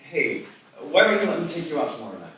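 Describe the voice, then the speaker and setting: Man acting an awkward young guy, echoey and lively. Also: fairly high pitch, quick pace. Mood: hopeful, sheepish. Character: awkward, scheming young man smitten with his neighbor. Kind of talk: live screenplay table read. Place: live festival reading in an echoey room. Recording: echoey hall, distant mic, faint noise.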